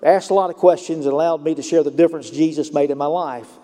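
A man with a Lower Alabama Southern accent preaching a sermon, moving from storytelling to emphatic points. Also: steady tone, fast, mellow, big-room sound. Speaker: pastor.

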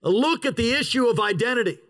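High-voiced bright emphatic preaching man. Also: animated. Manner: emphatic, dramatic drawn-out pauses. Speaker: pastor. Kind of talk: sermon with opening prayer. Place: outdoor church service in a parking lot.

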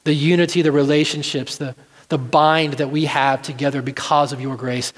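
A man preaching a sermon in an earnest, deliberate way, repeating phrases for emphasis. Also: a little high, fairly even intonation. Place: large reverberant room, church service. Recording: live sermon in reverberant hall.

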